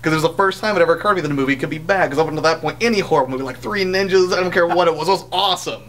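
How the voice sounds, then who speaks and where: A man's voice: on the high side, racing, a bit bright, slight background noise. A young man co-hosting a casual podcast, giving wry, hyperbolic opinions on what he reviews. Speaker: podcast co-host. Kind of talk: casual chat, opinionated film and game review.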